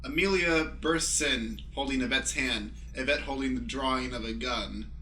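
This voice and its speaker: A man's voice: medium pitch, moderate pace, bright, forceful tone, echoey room, a little hiss or hum. A man narrating an improvised radio play, announcing scenes and stage directions.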